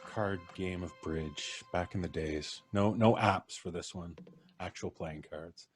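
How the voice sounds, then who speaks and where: Low, smooth-voiced male host. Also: takes it slowly, faint music behind. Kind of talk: casual live show introduction.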